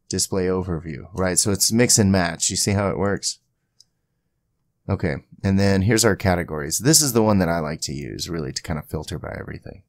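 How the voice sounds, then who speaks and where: Deep gravelly man talking through a tutorial. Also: no echo. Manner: informal, thinking aloud. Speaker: tutorial host. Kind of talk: casual screen-capture software tutorial.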